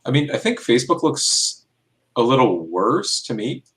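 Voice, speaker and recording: A man's voice: medium pitch, sing-song, moderate pace, fairly bright tone. A man chatting casually as a team member on a work call, easygoing and agreeable. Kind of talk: casual chat.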